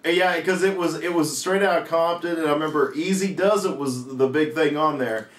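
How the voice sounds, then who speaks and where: A man's voice: fairly high pitch, normal speed. A man in his thirties casually reminiscing about his younger days with a friend. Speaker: co-storyteller, friend. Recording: roomy echo, distant mic, background noise.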